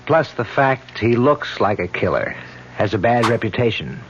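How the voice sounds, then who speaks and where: An actor playing a dogged, plain-spoken police detective, speaking in matter-of-fact clipped phrases in an old-time radio mystery. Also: male voice, mid-range voice, very animated, normal speed, a little hiss or hum, lightly acted. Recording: dull old radio broadcast.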